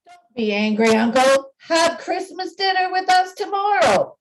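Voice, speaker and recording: A woman playing a cheerful, warm-hearted young nephew in a radio play, hearty and earnest. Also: very high voice, expressive, a little slow, a little hiss or hum, pitched above the performer's own voice, low-quality recording.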